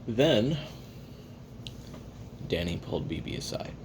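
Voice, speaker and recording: A man's voice: wildly swooping pitch, takes it slowly, vocal fry, full character voice. A man voicing a jaded, hard-drinking assassin recording his memoirs in a wry, profane, self-deprecating, rambling confession for an audio roleplay. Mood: subdued, reflective. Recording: audible hiss and low rumble.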